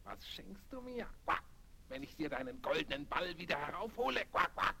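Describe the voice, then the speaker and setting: A man voicing an ugly, insistent big green frog with croaks and quacks in a children's audio play. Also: sits high, animated, normal speed, bright, forceful tone, exaggerated voice. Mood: bargaining. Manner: punctuates speech with quacks, demanding. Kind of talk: 1970s children's radio play acting. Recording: noisy vinyl record transfer.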